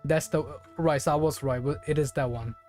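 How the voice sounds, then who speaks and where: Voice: mid-range voice, moderate pace, darkish tone. Game streamer musing aloud over music. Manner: musing, speculative, thinking aloud. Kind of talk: casual live gaming commentary.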